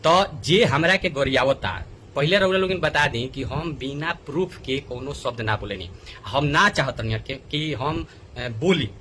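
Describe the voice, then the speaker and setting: A man's voice: mid-range voice, expressive, measured pace, pushed and bright, a little hiss or hum. A man with a Bhojpuri accent making an indignant, pleading direct appeal, often repeating himself for emphasis. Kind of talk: agitated direct appeal in a video.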